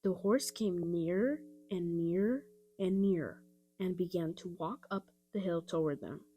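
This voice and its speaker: A woman narrating a children's audiobook in an expressive storytelling style. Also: lowish voice, unhurried, faint music behind. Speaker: storybook reader.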